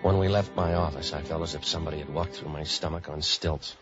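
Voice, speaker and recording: Deep-voiced hard-boiled wisecracking detective. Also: male voice, fast talker, music in the background. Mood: deadpan narrating. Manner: deadpan, sardonic. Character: world-weary, wisecracking hard-boiled private troubleshooter, a man. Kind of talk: 1940s radio detective acting, narration and dialogue. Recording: muffled old 1940s radio recording.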